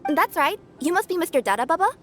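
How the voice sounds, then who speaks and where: A woman's voice: very high voice, lively intonation, quick pace, fairly bright tone. A woman voicing an earnest, polite young cat-girl courier with an eager, easily flustered manner in a video game. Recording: faint music behind.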